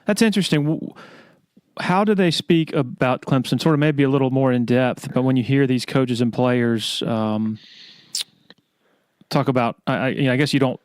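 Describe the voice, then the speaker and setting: A man's voice: mid-range voice, moderate pace, smooth, no room sound, studio quality. A man hosting a casual sports podcast, feeling his way through questions and rephrasing as he goes. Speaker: podcast host, interviewer.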